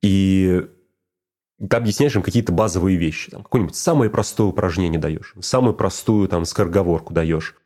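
Mellow low-voiced man in casual talk. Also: a little fast. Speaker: teacher of speech exercises. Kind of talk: casual reflective conversation.

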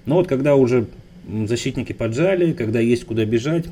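Mellow-voiced man co-hosting a football podcast. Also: medium pitch, lively intonation, fairly quick, a little hiss or hum. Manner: thoughtful, restarts words. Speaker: podcast co-host. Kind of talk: casual football analysis chat.

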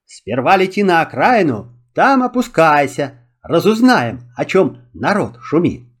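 A man's voice: fairly high pitch, sing-song, unhurried, breathy edge, heavily acted. A man playing a bold, cheerful Cossack hero in a fairy tale, hearty, plain-spoken and declamatory. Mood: adventurous.

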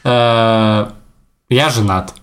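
A man's voice: on the low side, steady tone, drawn-out words, a bit bright. A man in his late twenties co-hosting a podcast in casual, conversational talk with friends. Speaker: podcast co-host, teacher and young historian. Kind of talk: casual group podcast chat.

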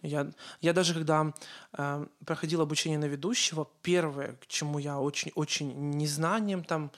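A man's voice: on the high side, steady tone, moderate pace, a bit bright. A man from Ukraine co-hosting a casual podcast, talking in an earnest, rambling way and often correcting himself mid-sentence. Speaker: podcast co-host.

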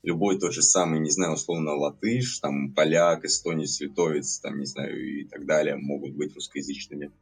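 Podcast guest: young man from Latvia, very deep and husky, rambling and hedging. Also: fairly even intonation, moderate pace, hardly any pauses. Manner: rambling, many hedges and fillers. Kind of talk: casual podcast chat.

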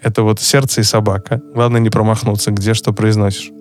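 A man's voice: on the low side, level tone, brisk, faint music behind. A man speaking Russian as a second language, co-hosting a casual podcast chat, joking and hesitant with many fillers and restarts. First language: not Russian. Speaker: podcast co-host, psychologist.

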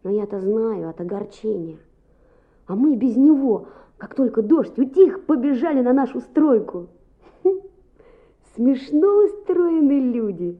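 A woman playing a mischievous, chatty schoolboy in a radio play, expressive and boyish. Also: fairly high pitch, slow. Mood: sly, self-satisfied. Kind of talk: radio play narration and dialogue. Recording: muffled, narrow-band old radio recording.

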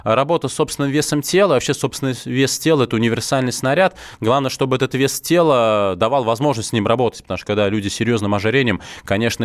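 A man's voice: medium pitch, no echo. Man hosting a dry-sounding radio show. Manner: calm, lectures on fitness. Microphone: studio microphone.

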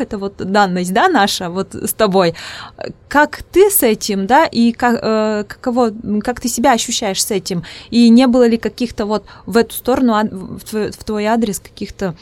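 A woman's voice: medium pitch, a little mellow. Woman host with an Udmurt accent. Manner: hesitant, searching for words with many restarts. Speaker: podcast host. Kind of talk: casual podcast interview.

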